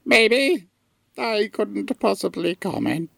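A woman's voice: mid-range voice, expressive, slow pace, creaky, no echo, clean studio sound, heavily acted. A woman playing a grandiose galaxy-spanning pet being in a comedy audio drama, pompous and declamatory. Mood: defensive.

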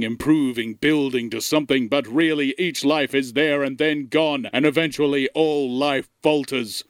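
A man's voice: medium pitch, dry recording, close to an everyday voice. Man playing a gloomy, formal alien intelligence. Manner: formal, ponderous, melancholy. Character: gloomy ancient disembodied male alien intelligence facing death. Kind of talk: comedy audio drama monologue.

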